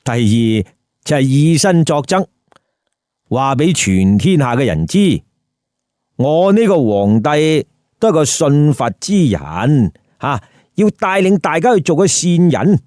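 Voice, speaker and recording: A man's voice: on the high side, a little mellow, no room sound, clean studio sound. A man telling a comic story in a theatrical, emphatic way with rhetorical questions. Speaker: storyteller, host. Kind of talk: lively comic Cantonese storytelling.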